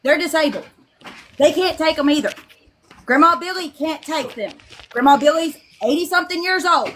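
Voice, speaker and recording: A woman's voice: sits high, moderate pace, a bit bright, a little hiss or hum. A woman on a video call from her living room, talking bluntly and emphatically, pressing her point with repeated checks. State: off work, in pajamas. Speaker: livestream guest. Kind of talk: casual livestream chat. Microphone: video call webcam.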